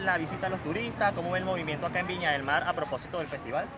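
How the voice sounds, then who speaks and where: A male field reporter with a high voice talks in an upbeat presenter tone. He stands in a busy square with loud crowd noise, a little off the mic. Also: rapid-fire, distant mic. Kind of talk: street reporting and interviews. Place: busy city square outdoors.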